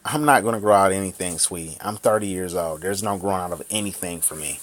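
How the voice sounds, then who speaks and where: A man's voice: sits low, fast, dry recording. Profane ranting man in his thirties. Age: 30s. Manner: angry, profane, repetitive ranting. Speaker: vlogger. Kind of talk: ranting vlog monologue.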